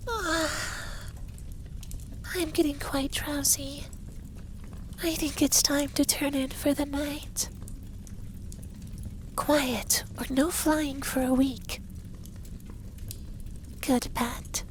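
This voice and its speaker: A man playing a possessive, stern but caring effeminate dragon lover in an intimate ASMR roleplay, soft and breathy with whispers. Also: very high-pitched, steady tone, extremely slow, pauses often, slight background noise, exaggerated voice. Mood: drowsy, firm. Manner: commanding, teasing, tender.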